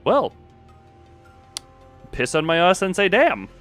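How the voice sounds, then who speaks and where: A man with a fairly high, very animated voice commentates a game on a livestream. He is excitable and sweary, prone to giggling as he reads aloud. Faint music plays behind him. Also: slow. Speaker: livestreamer playing and reading a story game.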